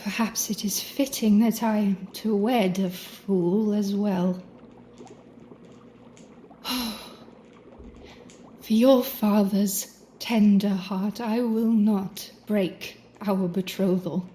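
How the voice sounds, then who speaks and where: An actress playing a proud, wounded young bride in a gothic audio drama, speaking in a formal, solemn manner. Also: female voice, medium pitch, steady tone, drawn-out words, pauses often, warm tone, a little hiss or hum. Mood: cold, resigned.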